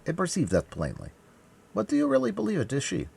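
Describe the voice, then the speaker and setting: A man voicing a grave, world-weary musketeer, reserved and sardonic, with measured, dry delivery in an audiobook. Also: high-pitched, huge pitch swings, gravelly, a little mellow, breathy edge, voice pushed up, heavily acted. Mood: sombre.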